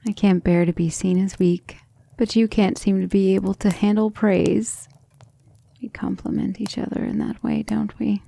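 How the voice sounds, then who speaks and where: Woman playing a breathy, creaky-voiced tender vampire lady. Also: mid-range voice, little pitch movement, normal speed, warm tone, dry recording. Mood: tender, teasing. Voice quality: breathy, often dropping into whisper. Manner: affectionate, refined, hesitant musing. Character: centuries-old vampire woman, tender, proud, devoted lover. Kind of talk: ASMR roleplay, intimate and slow.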